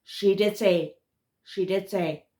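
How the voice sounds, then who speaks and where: A woman's voice: medium pitch, fairly even intonation, slow pace, dark tone. A woman with a thick Massachusetts accent, chatting casually in a rambling vlog and often trailing off and restarting her words. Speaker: spiritual vlogger.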